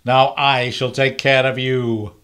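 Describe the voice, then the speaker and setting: A man's voice: medium pitch, little pitch movement, takes it slowly, a bit bright, smooth. A man playing a pompous, greedy villain sheriff in an amateur radio play, gloating over his schemes.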